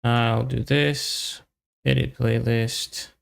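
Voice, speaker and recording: A man giving casual live coding commentary, thinking aloud as a software developer in halting, muttered fragments with long pauses. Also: mid-range voice, fairly even intonation, gravelly.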